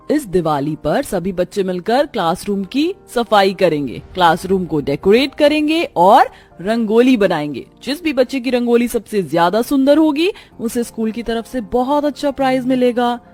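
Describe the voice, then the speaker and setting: A woman voicing an encouraging schoolteacher making an announcement to a class in an animated story. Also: fairly high pitch, moderate pace. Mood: encouraging, announcing. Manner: clear announcing, instructive. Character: encouraging, orderly female schoolteacher addressing her class. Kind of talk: Hindi animated moral story dialogue. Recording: music behind the voice.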